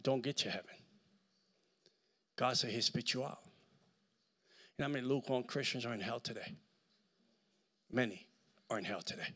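Middle-aged man, Puerto Rican accent, fervent preaching, bright forceful tone, handheld mic, church hall. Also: medium pitch. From Puerto Rico. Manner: fervent, swings from shouting to near-whisper. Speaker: guest preacher. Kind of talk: sermon. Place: large church hall with live audience.

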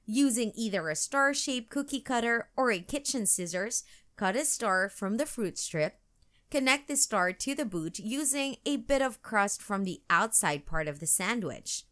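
Bright-voiced woman reading to children. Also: a little high, lively intonation, a little slow. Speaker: storytime channel narrator. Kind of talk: children's book read-aloud.

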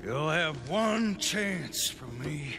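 An actor playing a menacing, mocking gang boss in a video game, sneering and threatening in a theatrical way. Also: male voice, fairly high pitch, animated, very slow, gravelly, music in the background, full character voice. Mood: stern, threatening. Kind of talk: video game character acting.

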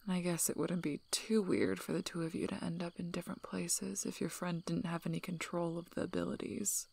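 A guarded female werewolf alpha, played by a woman in an ASMR role-play. She speaks softly in a lowish, husky half-whisper with heavy vocal fry. Her delivery is dry, unhurried and wryly protective. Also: flat delivery. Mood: low, softening.

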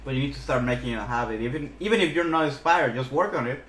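A man's voice: mid-range voice, fast talker, a bit bright, slight background noise. A young man chatting casually as an artist co-hosting a live stream. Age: young adult. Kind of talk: casual chat. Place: small room, indoors. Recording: roomy echo. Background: faint music.

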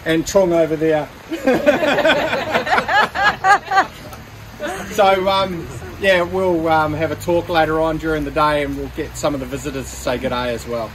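A middle-aged Australian man casually narrating a four-wheel-drive club trip to his phone camera outdoors, relaxed with lots of ums and dry humour. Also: a little high, unhurried, recorded from a distance, crowd noise, rough recording. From Western Australia. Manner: laid-back, many ums, dry humour. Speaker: club member filming a trip vlog. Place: outdoors at a rural campsite.

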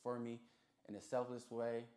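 A man's voice: mid-range voice, monotone, normal speed, a little mellow. A man in his twenties with a Los Angeles American accent, chatting casually and telling stories in slangy speech as an interview guest. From Los Angeles, USA. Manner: slangy, conversational storytelling. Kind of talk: casual chat. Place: small music studio room. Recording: roomy indoor echo.

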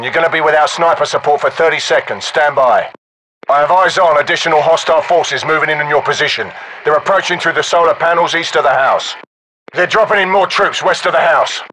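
A crisp, forceful sniper spotter. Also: male voice, fairly high pitch, rough recording. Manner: clipped, matter-of-fact. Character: cool, methodical special forces sniper on overwatch. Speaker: sniper overwatch. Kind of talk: military game radio reports.

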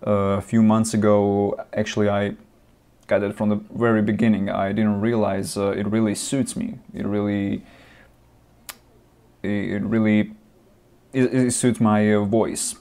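A young man with a lowish voice rants about games into his webcam. He's not a native English speaker and keeps restarting his sentences and repeating points. The sound is close and plain, from a small room. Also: little pitch movement, a little slow, gravelly, warm tone, clear, resonant tone. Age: young adult. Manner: ranting, repetitive, many restarts and fillers. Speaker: gaming vlogger. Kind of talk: casual opinion vlog. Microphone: webcam at desk, close framing.

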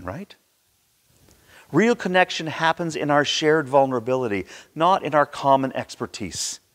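A man in his sixties preaching a sermon with theatrical, emphatic delivery and dramatic pauses, recorded in a church. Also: medium pitch, creaky. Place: large church sanctuary, indoors at a pulpit. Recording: reverberant church hall.

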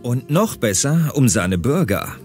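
A man plays a friendly, casual police pilot in an audio drama. The voice is mid-pitched and very varied in melody, with faint music behind it. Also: big pitch swings, unhurried, a little mellow. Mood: casual, dry. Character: easygoing male police pilot, friendly, dry colleague. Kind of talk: German audio drama acting.

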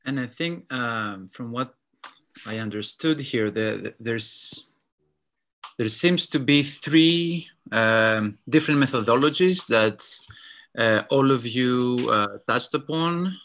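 A man in his thirties moderating an online panel from a room of bookshelves, summarizing slowly and carefully. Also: medium pitch, fairly bright tone.

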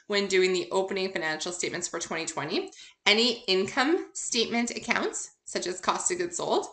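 A woman's voice: medium pitch, bright, forceful tone. A woman instructor giving a recorded lesson, explaining things in a conversational way with informal asides. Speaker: accounting course instructor. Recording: roomy, echoey sound.

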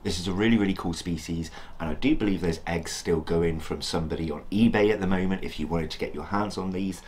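Low, croaky-voiced man hosting a bug channel. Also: fast talker, darkish tone. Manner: chatty, enthusiastic, self-deprecating. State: croaky from a sore throat. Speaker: channel host, insect keeper. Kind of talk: casual educational vlog. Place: indoor room full of insect enclosures.

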